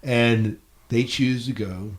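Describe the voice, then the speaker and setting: A man hosting a podcast in casual discussion, halting and repeating phrases as he makes his point. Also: medium pitch, steady tone. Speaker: podcast host.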